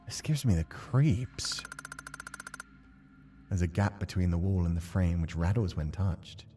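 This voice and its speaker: Low, subdued, brooding haggard man, read by a man. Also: a little slow, warm tone, soft background music. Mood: uneasy. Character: haggard, uneasy man exploring an eerie train. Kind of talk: visual novel dialogue read aloud.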